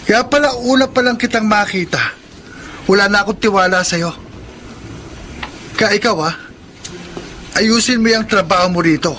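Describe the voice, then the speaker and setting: A haughty, jealous young man with a strikingly high voice. He speaks in a forceful, emphatic way, confronting others in radio drama style. There is faint noise on the recording. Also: measured pace, pauses often. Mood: menacing. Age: young adult. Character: haughty, jealous young son, shaken by adoption news. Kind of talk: Tagalog radio drama acting.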